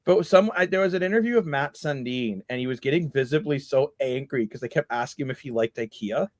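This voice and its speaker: A man hosting a casual hockey podcast, talking with energy and getting loud when worked up. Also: mid-range voice, brisk, no room sound, studio quality. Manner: animated, loud when riled up. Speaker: podcast host. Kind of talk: casual sports chat.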